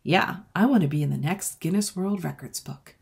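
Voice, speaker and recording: A woman's voice: on the low side, moderate pace, vocal fry, darkish tone, husky, heavily acted. Eager ten-year-old storybook heroine, read by a woman, earnest and set on her goal. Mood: eager, determined. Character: determined, eager almost eleven-year-old girl.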